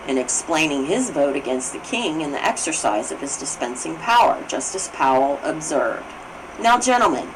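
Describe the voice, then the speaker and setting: Woman, low-pitched, animated intonation, formal read-aloud narration, careful delivery with stumbles, noticeable background noise. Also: rough recording. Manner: formal, careful reading with stumbles and self-corrections. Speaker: reader of a book on constitutional history.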